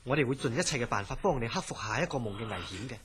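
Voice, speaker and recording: An actor playing a calm, reassuring hospital director in a horror radio drama, measured and husky, with a Hong Kong Cantonese accent. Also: male voice, medium pitch, a crowd in the background, lightly acted. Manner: measured, placating.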